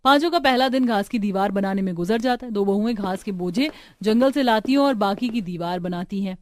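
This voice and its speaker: A woman storyteller narrates a scripted cartoon tale. Her pitch sits in the middle, and soft music runs under her voice. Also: a little fast, no room sound.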